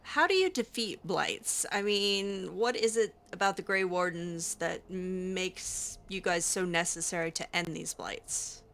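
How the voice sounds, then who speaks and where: A woman's voice: fairly low pitch, unhurried, few pauses, fairly bright tone. A woman voicing a skeptical, curious young mage in improvised game roleplay, hesitant and questioning with sardonic asides. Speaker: roleplay gamer.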